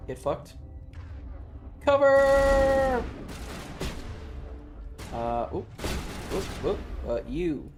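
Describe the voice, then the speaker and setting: A man's voice: high-pitched, big pitch swings, extremely slow, pauses often, over music. A man livestreaming a video game with casual, slangy, swearing chatter and jokey commentary. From Southern United States.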